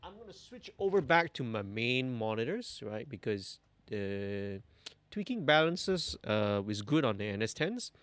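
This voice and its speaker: Young man, livestream mixing tutorial, casual think-aloud delivery, lively rising and falling pitch, dry close sound. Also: measured pace, no echo. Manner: relaxed, thinking aloud while working. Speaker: mixing engineer host. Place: indoor music studio.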